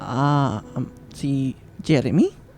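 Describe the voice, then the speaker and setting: A man plays a quiet young Filipino student in a radio drama, the supportive friend. His voice is mellow and his delivery halting. Faint music sits behind him. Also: medium pitch, close to an everyday voice. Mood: subdued, supportive. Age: young adult. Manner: hesitant, halting. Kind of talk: radio drama acting with English code-switching.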